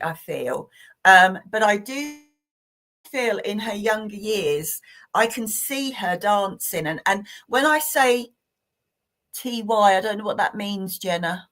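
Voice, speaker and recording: A woman giving live psychic readings to online viewers, talking gently and hesitantly with many fillers and restarts. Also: medium pitch.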